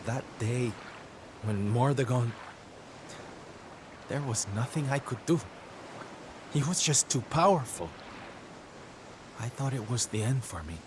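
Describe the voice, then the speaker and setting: An actor playing a theatrical, sensitive male adventurer in a video game, downcast and tender, speaking in a halting, dramatic way. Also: medium pitch, animated, lightly acted. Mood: despairing. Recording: clear noisy ambience under the voice.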